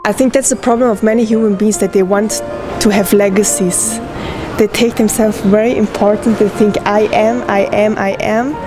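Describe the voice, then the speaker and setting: Young woman pianist, from Switzerland, whose first language is Hokkien. Reflective and searching for words, in an interview over clear piano music. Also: medium pitch, steady tone, normal speed. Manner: thoughtful, searching for words, with restarts. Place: indoor room with piano and plants. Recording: piano music playing underneath.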